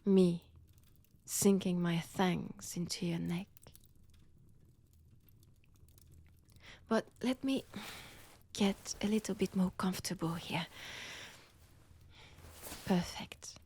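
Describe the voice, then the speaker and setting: A woman's voice: on the low side, steady tone, mellow, exaggerated voice. A woman with a French accent voicing a seductive, menacing female vampire in an intimate ASMR roleplay, whispery and teasing, close to the microphone. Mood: hungry, seductive. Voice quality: breathy, whispery. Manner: slow, teasing, deliberate delivery. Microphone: close, dry microphone.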